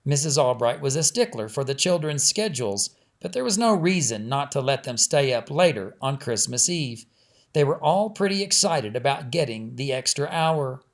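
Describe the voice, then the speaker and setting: A man's voice: medium pitch, lively intonation, normal speed. A man reading a family story aloud as scripted audiobook narration. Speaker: author reading own audiobook.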